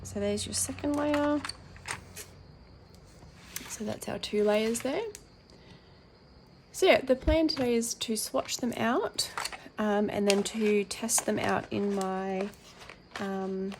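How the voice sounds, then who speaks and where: A woman with a croaky, worn-out voice talks casually through an unboxing at her table, filling pauses with ums and reading labels aloud. Her tone has a gravelly edge, with a faint hiss of background noise. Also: mid-range voice, steady tone. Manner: chatty with frequent ums, reads packaging aloud. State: croaky, tired voice. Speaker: colouring channel host.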